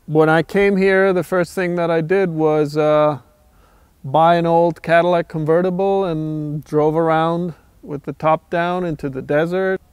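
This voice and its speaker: Man, smooth and slightly high. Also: steady tone, dry recording. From Austria. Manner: thoughtful, measured, with frequent "uh" pauses. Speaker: guitar builder. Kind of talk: documentary interview, reflective storytelling.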